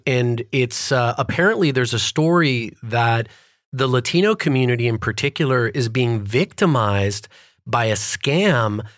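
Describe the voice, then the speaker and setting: A man's voice: medium pitch, a bit bright, dry recording, clean studio sound. A man hosting an interview podcast, talking informally and building up long, conversational questions. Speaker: podcast host.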